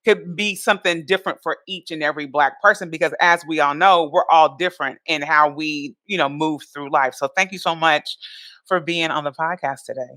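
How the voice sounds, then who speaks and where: A woman's voice: deep voice, fairly quick, sharp tone, no echo. A woman hosting a podcast, chatting casually and enthusiastically with a guest and saying "y'all" and "like" a lot. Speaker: podcast host.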